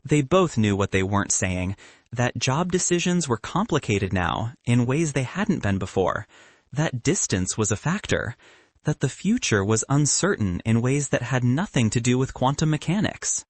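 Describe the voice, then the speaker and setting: A man narrating a romantic story as an audiobook, reading with expressive storytelling. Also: medium pitch, moderate pace, dry recording.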